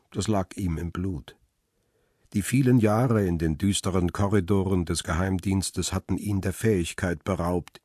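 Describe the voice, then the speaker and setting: A man's voice: low-pitched, darkish tone, husky, no room sound, clean studio sound. A man narrating a crime radio play in the first person and playing its brooding lead.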